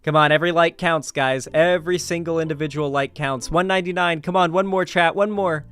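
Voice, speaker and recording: A man hosting a live stream, chatting casually and informally with his viewers in an animated way. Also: on the high side, fairly quick, clean tone. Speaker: livestream host.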